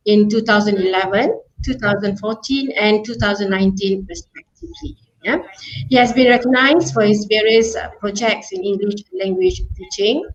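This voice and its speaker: A woman's voice: medium pitch, lively intonation, moderate pace. A woman moderating an online webinar, peppering her talk with fillers and checks like "yeah?" and "right?". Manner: filler-heavy, often ends with "yeah?" and "right?". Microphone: video call. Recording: muffled online call audio.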